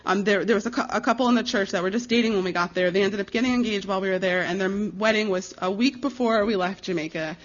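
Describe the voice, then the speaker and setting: A woman's voice: medium pitch, fast talker, few pauses. American woman giving an earnest talk, dull recording. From United States. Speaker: missionary giving a ministry report. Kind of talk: informal presentation with slides. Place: live talk before an audience.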